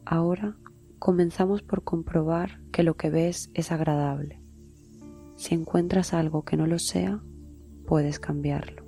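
A woman guiding a meditation in a slow, soft, soothing way, often sinking into a whisper. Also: low-pitched, steady tone, vocal fry, soft background music. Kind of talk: guided meditation.

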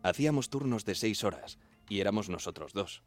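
An actor playing an earnest young radio operator of the 1910s, narrating in the first person in a measured, plain way. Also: male voice, lowish voice, lively intonation, close to an everyday voice. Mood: measured, reminiscing. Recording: music behind the voice.

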